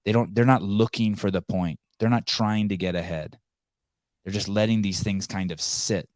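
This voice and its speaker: A man's voice: on the low side, fairly even intonation, normal speed, darkish tone, no room sound, clean studio sound. A man whose first language is Armenian, hosting a casual podcast conversation, rambling with frequent restarts and blunt profanity. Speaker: podcast host. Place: home in California.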